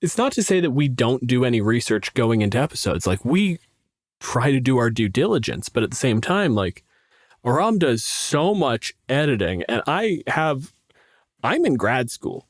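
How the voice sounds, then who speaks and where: A man's voice: medium pitch, normal speed, dry recording. Self-deprecating young man, dry close sound. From Canada. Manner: self-deprecating, sweary, animated storytelling with quoted asides. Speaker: podcast co-host and game master. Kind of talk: casual podcast chat.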